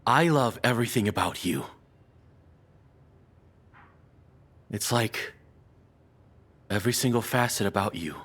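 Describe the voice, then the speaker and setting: A man performs a lovestruck, unhinged yet apologetic male captor in an intimate audio drama. His voice sits low and is very breathy and rough-edged. He rambles and stammers nervously, his intonation swinging widely as he goes. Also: expressive, normal speed, a bit bright. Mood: obsessive, lovestruck. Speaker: audio roleplay voice actor.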